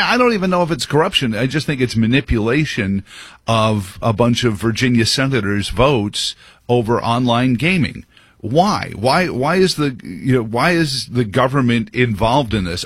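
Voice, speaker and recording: A man's voice: mid-range voice, fairly bright tone, husky, no room sound, studio quality. A man hosting a talk radio show, giving a rambling, opinionated monologue full of fillers and restarts.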